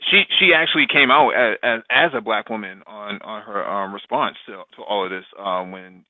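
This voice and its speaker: A man's voice: on the low side, normal speed, dry recording. A man rambles through a political chat, stacking up fillers and tossing in crude jokes and curses. His voice comes through dry and thin, with the narrow sound of a phone line. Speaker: podcast co-host. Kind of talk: casual political discussion.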